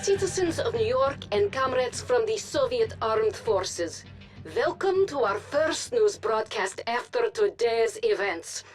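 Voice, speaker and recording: An actress playing a composed, authoritative Soviet propaganda news anchor, reading formally in a video game broadcast. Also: female voice, on the high side, animated, music in the background. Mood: official, firm. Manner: formal, measured delivery.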